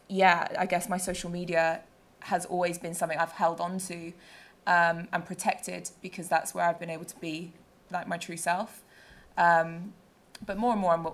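A woman's voice: lowish voice, flat delivery, moderate pace, gravelly. A woman giving a casual, informal answer as a panelist in a discussion. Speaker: panelist, plus size model. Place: live panel event.

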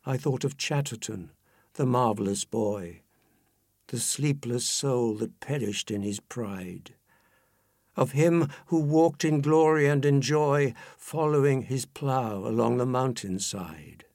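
A man reading poetry aloud in a solemn, dramatic style, with measured phrasing and deliberate pauses. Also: mid-range voice, a little mellow, no echo, clean studio sound. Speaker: poetry reader.

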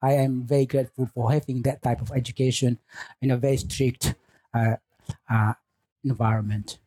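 A middle-aged man from Indonesia, speaking English as a later language, answering interview questions as a teacher in a thoughtful, unhurried way. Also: medium pitch, flat delivery, mellow, breathy edge, dry recording. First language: Lamaholot. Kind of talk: relaxed radio interview. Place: studio.

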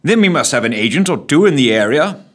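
A man's voice: medium pitch, big pitch swings, quick pace, pitched above the performer's own voice. A man voicing a wry, unflappable male prime minister in a storybook reading. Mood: dry.

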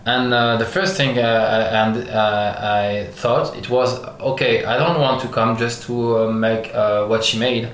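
A man from Algeria who grew up in France, a French speaker talking as an artist guest in an interview, pausing often and searching for English words. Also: mid-range voice, fairly even intonation, fairly bright tone. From Algeria, raised in France. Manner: many pauses and restarts, searching for English words. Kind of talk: halting interview answers. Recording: echoey room with faint noise.

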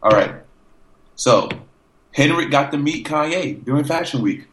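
A man's voice: a little high, expressive, unhurried, a bit bright. A man hosting a podcast, chatting loosely and joking, with frequent swearing. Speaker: podcast host. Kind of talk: casual chat.

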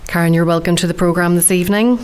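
An Irish woman presenting a radio food programme in a friendly, measured way. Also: lowish voice, steady tone, music in the background. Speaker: radio host.

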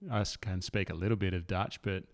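A man hosting a podcast in a relaxed, chatty way, with frequent fillers like yeah. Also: sits low, brisk. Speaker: podcast host. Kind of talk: casual podcast interview.

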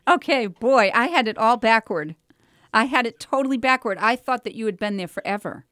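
A woman's voice: a little high, lively intonation, brisk, a bit bright, dry recording, clean studio sound. A woman hosting a chatty local radio show, talking informally in an effusive, exclaiming, encouraging way. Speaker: radio talk show host. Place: radio studio.

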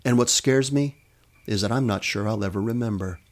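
A man's voice: on the low side, brisk, natural voice. A man voicing a wistful elderly woman, earnest and hesitant, afraid of growing old, in a storybook reading. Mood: resentful, pleading.

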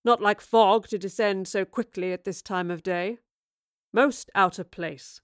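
A woman's voice: mid-range voice, a little slow, dry recording, clean studio sound, lightly acted. A woman reading a story, voicing a menacing male villain who growls and snarls threats. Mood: cold menacing. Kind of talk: audiobook reading.

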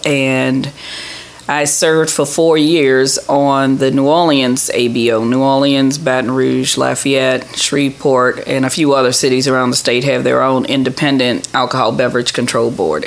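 Gravelly middle-aged woman answering questions. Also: very deep voice. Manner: explanatory, plain-spoken. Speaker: government official, interview guest. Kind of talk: conversational interview answers.

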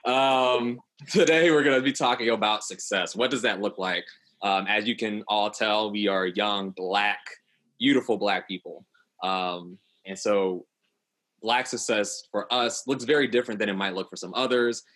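Low-voiced man hosting a panel. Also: steady tone, normal speed, fairly bright tone. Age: late 20s. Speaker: podcast host. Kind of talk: hosted panel conversation.

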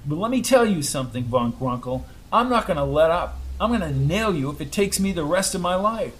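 A combative male reporter fires off blunt, sarcastic questions in a fairly high, direct voice, as a man reads him out. He never lets up. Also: animated, fairly quick, close to an everyday voice. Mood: hostile.

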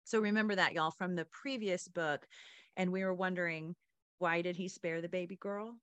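A woman hosts a book podcast in a fairly low voice. She reads passages expressively and slips into informal asides. The recording is very dry, with no room around the voice. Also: steady tone, normal speed, fairly bright tone, clean tone, no echo, muffled recording. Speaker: podcast host. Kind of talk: reading book excerpts and interviewing the author.

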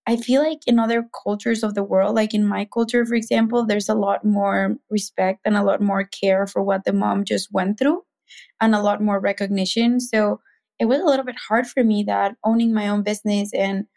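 A woman's voice: mid-range voice, fairly even intonation, normal speed, vocal fry, darkish tone. Chatty Mexican woman guest. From Mexico City. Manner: chatty, many fillers like "like" and "you know". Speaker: guest, business founder. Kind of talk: casual podcast conversation.

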